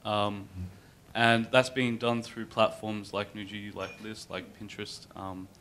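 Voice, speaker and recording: A man speaking as a panelist, explaining his points in a measured, structured way with many fillers. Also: on the low side, little pitch movement, fairly bright tone, slight background noise. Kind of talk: panel discussion.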